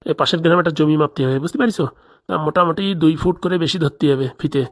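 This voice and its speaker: A woman's voice: deep voice, racing. A woman voicing a crooked, bossy male village boss in a comedy cartoon, scheming aloud with a rural Bengali accent.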